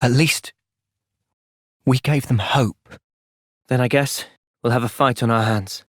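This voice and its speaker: An actor voicing an earnest, thoughtful young male soldier and leader in a video game, with an English accent and a measured delivery. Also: mid-range voice, dry recording, clean studio sound. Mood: reflective. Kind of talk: video game cutscene acting.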